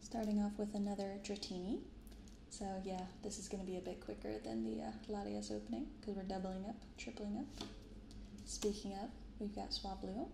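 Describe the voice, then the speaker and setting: Soft-spoken young woman, creaky ASMR voice. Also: mid-range voice, steady tone. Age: young adult. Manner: hushed, unhurried reading aloud and chatting. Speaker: YouTube card-opening host. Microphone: close binaural microphone. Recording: roomy sound with clear background noise.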